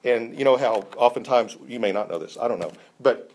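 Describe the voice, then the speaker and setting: A man's voice: mid-range voice, brisk, darkish tone. An enthusiastic man teaching a Bible class, talking conversationally with frequent restarts and fillers.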